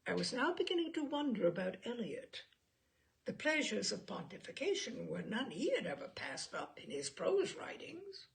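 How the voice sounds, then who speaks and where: A woman reading humorous short fiction aloud as an expressive, deliberate and theatrical literary narrator. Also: a little high, gravelly. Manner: deliberate, theatrical delivery with dialogue acted out. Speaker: reader of humorous short stories.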